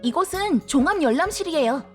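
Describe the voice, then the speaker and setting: A woman acting in an audio drama as an excitable, kindly library director who guides a newcomer politely and with bubbly delight. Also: high-pitched, brisk, soft background music. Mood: bright, welcoming. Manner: bubbly, polite, gushing over small things.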